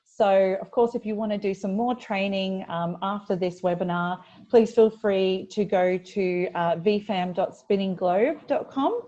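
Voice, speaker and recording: A woman's voice: mid-range voice, measured pace, hardly any pauses, warm tone. A woman hosting a webinar in a friendly way with many fillers, speaking over a video call. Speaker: webinar host. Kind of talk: webinar presentation. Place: office in the United Kingdom. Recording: dull lo-fi video call audio.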